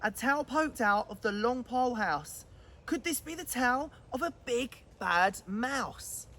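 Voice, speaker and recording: A woman's voice: fairly high pitch, animated, a little slow, a bit bright. A woman reading a children's bedtime story aloud with dramatic, rhythmic expression.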